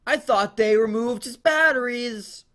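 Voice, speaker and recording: A man's voice: very high-pitched, unhurried, sharp tone, pitched above the performer's own voice, exaggerated voice. A man voicing an exasperated male pizzeria employee with a fussy, rambling manner. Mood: alarmed.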